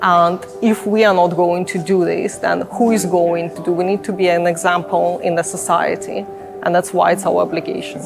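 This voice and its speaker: A woman from Ukraine, speaking seriously and in a measured way about volunteering. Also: lowish voice, flat delivery, gravelly. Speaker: sanctuary group volunteer. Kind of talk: personal testimony. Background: music bed.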